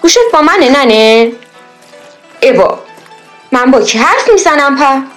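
A woman's voice: high voice, lively intonation, faint music behind. A woman playing a proud, fretful middle-aged village mother, folksy and lamenting, in a period radio drama. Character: proud, fretful village-born mother, khan's daughter, doting and scolding.

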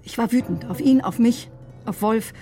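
An actress playing a bitter, sharp-tongued woman doctor in a radio drama, with a sardonic, controlled, defensive manner. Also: fairly high pitch, normal speed, soft background music, close to an everyday voice. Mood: tense recounting. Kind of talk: radio drama acting, confessional narration and dialogue.

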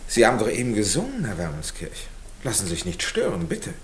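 An actor playing a genial middle-aged gentleman in a radio drama, polite and measured in his manner. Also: lowish voice, wildly swooping pitch, slight background noise. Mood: courteous, reflective. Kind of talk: naturalistic radio drama acting.

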